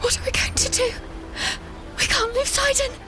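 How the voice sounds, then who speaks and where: A woman's voice: extremely high pitch, little pitch movement, normal speed, very noisy. A woman playing a frightened, urgent young princess in a fantasy audio drama. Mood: panicked. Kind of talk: cinematic audio drama acting.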